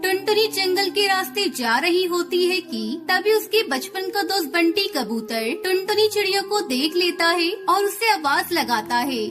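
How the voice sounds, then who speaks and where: A woman narrator with an extremely high voice reads a scripted cartoon story. Clear music plays behind her storybook narration. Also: animated, normal speed, few pauses, a bit bright.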